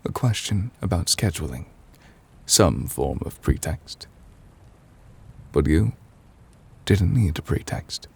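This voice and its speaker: Man playing an ancient immortal judge, extremely low voice, gravelly and breathy, formal, measured, solemn yet gentle, intimate ASMR roleplay. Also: heavily acted. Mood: weary, reflective. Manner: formal, measured, halting, softly confiding.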